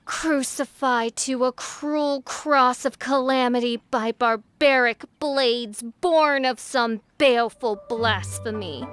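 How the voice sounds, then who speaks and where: A woman's voice: sits high, fairly bright tone. A woman playing a pompous, theatrical teenage girl who poses as a princess in a video game, speaking in archaic, flowery phrases. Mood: grandiose.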